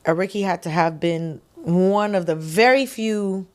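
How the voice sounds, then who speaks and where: Singer guest, woman from Quebec, low voice, lively storyteller who acts out what people said. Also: a little slow. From Quebec, Canada. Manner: animated storytelling, acts out quotes with laughs. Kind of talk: casual interview chat.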